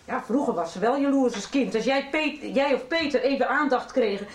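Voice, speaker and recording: An actress playing a brisk, blunt middle-aged mother of grown children in a Dutch radio soap, often exasperated. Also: sits high, close to an everyday voice. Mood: matter-of-fact. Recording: roomy sound with faint noise.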